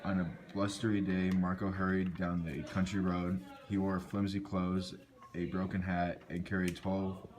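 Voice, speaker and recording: A man's voice: sits low, level tone, a little slow, a little mellow. A man narrating a scripted folk tale, reading aloud and occasionally stumbling over words.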